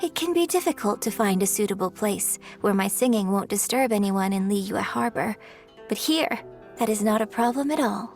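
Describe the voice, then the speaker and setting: A woman's voice: mid-range voice, animated, moderate pace, darkish tone, soft background music, close to an everyday voice. A woman playing a refined, courteous young opera performer in a video game, speaking with polite, formal, elegant phrasing. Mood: serene, gracious. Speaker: game character voice. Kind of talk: scripted video game dialogue.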